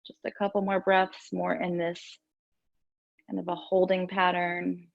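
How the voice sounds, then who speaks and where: A woman teaching yoga and meditation, giving gentle, soothing, unhurried guidance with pauses between instructions. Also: lowish voice, completely flat delivery, gravelly, no echo, muffled recording.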